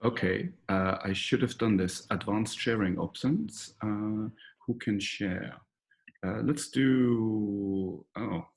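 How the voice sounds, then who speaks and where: A man, the professor hosting the session, unhurried as he fumbles with meeting settings. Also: fairly low pitch, gravelly. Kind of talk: casual chat.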